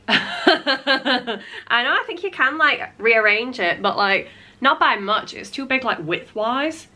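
A woman's voice: a little high, animated, slow pace, pushed and bright. A woman, chatting casually as a vlogger at home, her voice congested from a cold, full of "like" fillers. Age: late 20s. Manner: chatty, frequent "like" fillers, playful asides. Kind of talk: casual chat. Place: small cluttered home room.

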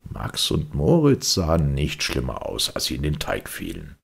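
Very deep, gravelly male storyteller. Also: huge pitch swings, moderate pace. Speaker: audiobook narrator. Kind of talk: expressive scripted storytelling for children.

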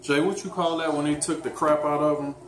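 A man's voice: on the high side, fairly even intonation, fairly quick. A man in his thirties casually showing how to cook dinner, teasing and talking straight to viewers. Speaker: fitness vlogger cooking with his daughter. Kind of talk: casual home cooking demo. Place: home kitchen, indoors. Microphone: camera mic on the counter. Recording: echoey kitchen with loud background noise.

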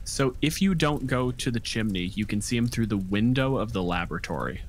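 A man's voice: medium pitch, animated, fairly quick, slight background noise. A man running a tabletop game as game master, narrating casually and swearing freely.

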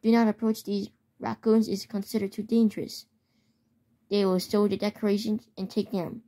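A woman reading a scripted mock emergency alert as an amateur announcer, halting with stumbles and repeated words. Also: mid-range voice, little pitch movement, mellow.

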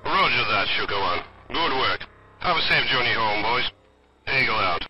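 Brisk military air controller over radio. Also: male voice, medium pitch, lively intonation, muffled recording, a little hiss or hum. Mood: approving.

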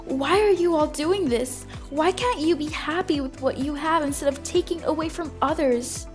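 A woman's voice: sits high, normal speed, music in the background, lightly acted. A woman voicing an earnest, grieving fountain goddess who speaks in dramatic, pleading speeches in an amateur fan film. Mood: anguished, reproachful.

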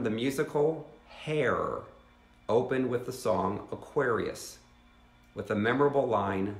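A man's voice: medium pitch, echoey room. Earnest fifties man reading a teaching. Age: 50s. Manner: earnest, reads steadily with asides to viewers. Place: living room at home.

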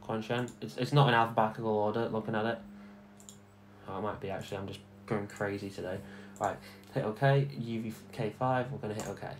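A man giving a casual, informal software tutorial, instructing step by step and repeating himself as he goes. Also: lowish voice, steady tone, a little fast. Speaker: tutorial host. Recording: clear background noise.

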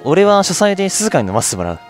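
A man voicing a gruff, aloof loner in a game, with a terse, blunt manner. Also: high-pitched, big pitch swings, quick pace, faint music behind, pitched above the performer's own voice. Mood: dismissive. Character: gruff, aloof man who keeps to himself.

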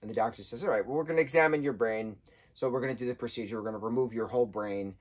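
A man in his thirties, husky-voiced, giving an animated casual book review from a sofa indoors. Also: medium pitch, racing. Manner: animated, gestures a lot, acts out quotes in changing voices. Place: indoor room, sofa with patterned throw.

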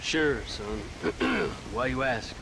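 An actor playing a self-assured older government agent with a folksy manner in a western game. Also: male voice, medium pitch, big pitch swings, extremely slow, heavily acted. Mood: casual, curious. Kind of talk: dramatic video game western acting. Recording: clearly noisy outdoor ambience behind.

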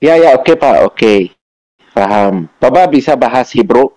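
A man with a medium-pitched voice debates religion on a video call. He is not a native Indonesian speaker and speaks slowly and haltingly, slipping between broken Indonesian and English. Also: animated, dark tone. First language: not Indonesian, still learning it.

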